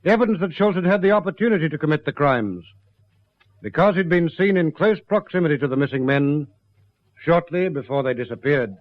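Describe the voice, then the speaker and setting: An actor as the narrator of a radio crime drama, speaking in a grave, rhetorical scripted style. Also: male voice, on the high side, animated, fairly quick, no echo, close to an everyday voice. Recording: dry, dull lo-fi radio recording.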